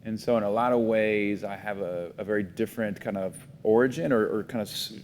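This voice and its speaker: Low-voiced man, reflective, roomy sound. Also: brisk, a little mellow. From Miami, United States. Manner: reflective, many fillers and restarts. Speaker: guest, foundation CEO. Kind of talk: casual interview talk. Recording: roomy reverb, faint rumble.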